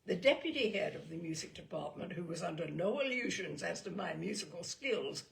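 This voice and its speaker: A woman reading a literary story aloud as an expressive storyteller. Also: fairly low pitch, brisk, vocal fry.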